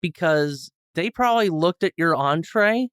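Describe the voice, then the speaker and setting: A man's voice: fairly high pitch, expressive, unhurried, a bit bright, clean tone, dry recording, studio quality. A man in casual, joking conversation as a podcast reviewer. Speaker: podcast co-host or guest reviewer.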